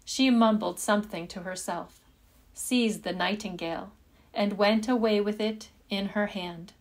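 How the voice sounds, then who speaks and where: A woman reads a fairytale as a storyteller. She takes it slowly and deliberately, with measured phrasing and a mid-range pitch, as in scripted storybook narration. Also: steady tone.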